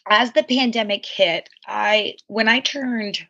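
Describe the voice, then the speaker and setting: A woman's voice: on the high side, slow pace, sharp tone, lo-fi sound, soft background music. A woman in her forties, a podcast guest, telling her life story candidly in a reflective, rambling way full of asides. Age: 40s.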